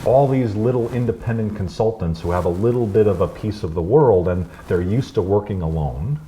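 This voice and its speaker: A very low-pitched man talking as an interview guest, loose and rambling with lots of restarts and an upbeat tone. There's a faint hiss of background noise under his voice. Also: a little fast, mellow, low-quality recording. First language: English. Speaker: guest, chamber founder. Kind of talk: casual interview conversation.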